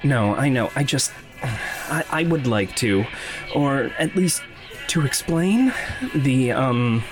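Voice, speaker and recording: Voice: mid-range voice, lively intonation, slow, over music. An actor playing a nervous, earnest, apologetic young man in an audio drama, polite and hesitant. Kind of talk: naturalistic audio drama dialogue.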